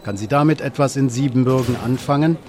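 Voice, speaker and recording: A man reading a scripted news report as a documentary voice-over, in an even, measured way. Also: mid-range voice, a faint crowd.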